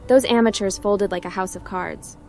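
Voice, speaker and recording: A confident hacker heroine voiced by a woman in a video game roleplay. Her voice is mid-pitched, cool and direct with a wry streak, over soft background music. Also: moderate pace, gravelly, natural voice.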